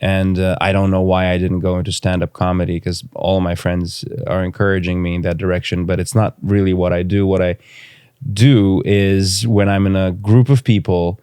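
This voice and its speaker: A man from Romania, a native Romanian speaker, speaking reflectively as an interview guest and pausing thoughtfully to choose his words. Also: low-pitched, little pitch movement, dark tone, clean tone, no room sound, studio quality. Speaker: podcast guest, filmmaker.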